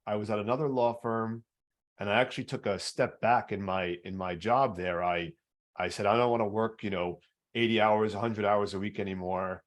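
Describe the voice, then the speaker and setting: A man with a baritone voice, hosting a podcast and telling his own story earnestly, stumbling over repeated words. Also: fairly even intonation, normal speed. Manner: earnest, repetitive restarts. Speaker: podcast host. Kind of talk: personal storytelling in interview.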